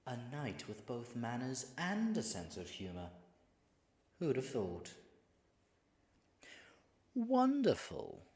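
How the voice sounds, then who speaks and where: A man's voice: mid-range voice, wildly swooping pitch, slow, long pauses, darkish tone, slightly rough, heavily acted. A man voicing a flustered, flirtatious dragon in human form in an audio roleplay, stammering nervously and muttering asides to himself. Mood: charmed, curious. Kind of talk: audio roleplay addressed to the listener.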